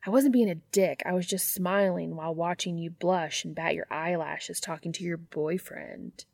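A man's voice: fairly high pitch, steady tone, normal speed, gravelly, no echo. A woman voicing an affectionate, amused younger brother in a story, teasing and given to whining and exclaiming.

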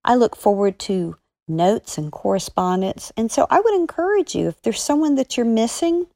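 A woman speaking as a children's author and podcast guest, encouraging young listeners with earnest, deliberate emphasis. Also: on the high side, lively intonation, creaky, dark tone, no room sound, clean studio sound.

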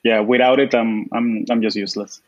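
A man hosting a podcast, asking curious, halting questions with fillers. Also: fairly low pitch, steady tone, dull audio. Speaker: podcast host. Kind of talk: podcast interview.

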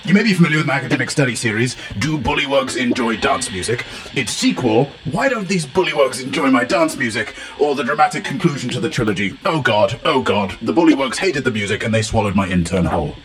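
Man putting on a pompous, bumbling professor, animated delivery. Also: mid-range voice, fast, few pauses, a crowd in the background, natural voice, low-quality recording. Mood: self-important. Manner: pompous, flowery, rambling with blunt asides. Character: pompous, bumbling, long-titled male professor of adventure lore. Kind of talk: comic in-character monologue as a recorded message.

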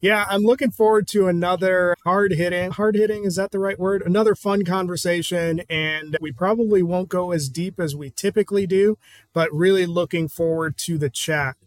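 Friendly guy hosting a podcast, kinda high voice, dry close mic, easygoing questions. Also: fairly even intonation, moderate pace, no room sound. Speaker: podcast host. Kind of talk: interviewing a guest.

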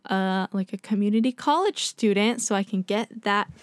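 A young woman talks in a quick, chatty run, packing her sentences with fillers and breaking into laughs. Her voice sits at an ordinary middle pitch. The recording is dry and close, with almost no room sound. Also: no echo. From United States. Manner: fast, chatty, lots of "like" and laughs. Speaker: podcast co-host, makeup artist. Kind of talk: casual chat.

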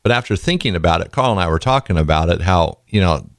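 A man with a very low voice, full of fry and rasp from a lingering illness. He talks in a loose, rambling way, drifting onto side stories. The recording is dry and close. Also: lively intonation, fast, no room sound. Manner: rambling, folksy, goes off on tangents. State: raspy from illness, tired. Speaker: podcast host. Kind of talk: casual podcast talk.

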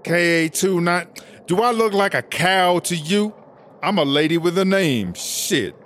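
A man's voice: high voice, animated, measured pace, a bit bright, a little hiss or hum. A man playing a brash, vain, bossy female prison inmate in a comedic audio drama, with sassy, slangy talk. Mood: indignant. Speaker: interviewed inmate character.

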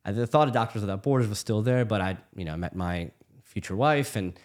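A middle-aged man from the United States, a physician guest telling stories in a casual interview, quick and full of restarts. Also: lowish voice. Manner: fast, animated storytelling with many restarts.

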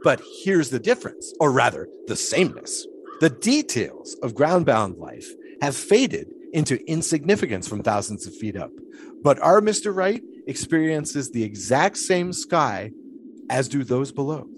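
A man's voice: mid-range voice, sing-song, a little slow, slight background noise. An actor playing a grandiloquent, philosophical 1920s showman in an audio drama, musing in wordy, theatrical speeches. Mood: lofty, explaining.

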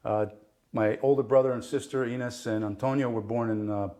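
A man's voice: medium pitch, steady tone, moderate pace, mellow. Italian-born man chatting loosely. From Italy. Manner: rambling, many fillers, laughs often. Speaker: guest. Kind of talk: casual interview chat.

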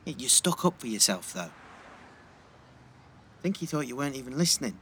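Gentle, hesitant teenage boy. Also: medium pitch, sing-song, fairly quick, a little hiss or hum. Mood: placating. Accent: English, East Midlands. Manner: hesitant, placating, repeats words. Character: gentle, patient teenage classmate and listener. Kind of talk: naturalistic audio drama dialogue.

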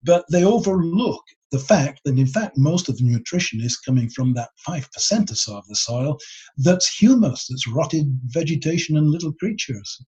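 A man explaining his subject informally as an expert being interviewed, in a husky voice. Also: a little high, sing-song, normal speed, warm tone. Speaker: expert interviewee, geology author. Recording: dull, lo-fi sound.

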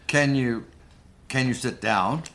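A man's voice: mid-range voice, slow, a bit bright. A man playing a crotchety, sceptical old miser in a community radio play, with a blunt, theatrical delivery. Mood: wary.

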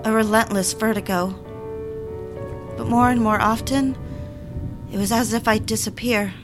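A woman's voice: a little high, fairly even intonation, unhurried, over music, lightly acted. A woman playing a troubled woman who narrates her slide into madness in a confiding, reflective way. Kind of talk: scripted first-person audio drama narration. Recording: clear background noise, vehicle sounds.

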